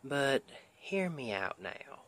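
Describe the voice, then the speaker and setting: A man's voice: mid-range voice, sing-song, fairly bright tone. A man playing a sweet, protective Southern husband with a Southern US accent, speaking in a folksy, soothing way in an intimate ASMR roleplay. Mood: reassuring, earnest. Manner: folksy, tender, unhurried, soothing.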